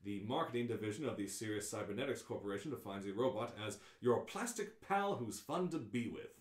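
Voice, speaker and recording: A man's voice: on the low side, lively intonation, a little fast, echoey room. A man reading scripted narration as host of an informal live radio-play read-through.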